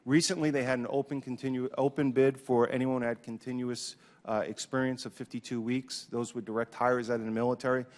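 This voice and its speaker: A man's voice: medium pitch, level tone, a little fast, a little mellow. A man testifying as a witness, giving unscripted answers at a formal hearing. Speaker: union leader testifying as witness. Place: large indoor hearing room. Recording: echoey hearing room.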